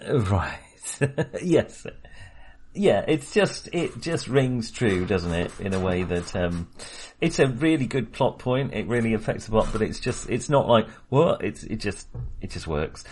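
A man's voice: on the low side, animated, a little slow, darkish tone, slightly rough. A man co-hosting a casual film podcast, talking with many fillers and small laughs. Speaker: podcast co-host.

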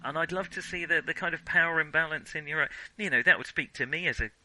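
A man co-hosting a casual film discussion, talking in a halting, animated way and often starting sentences over. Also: fairly high pitch, no echo, low-quality recording. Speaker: podcast co-host.

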